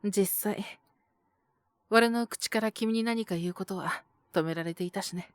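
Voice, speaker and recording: A woman voicing a calm, protective male owner who talks in a gentle, measured, softly teasing way in an audio drama. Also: medium pitch, dry recording, lightly acted. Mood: gentle, explaining. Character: calm, protective adult male bar owner mentoring a youth. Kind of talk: Japanese situational audio drama acting.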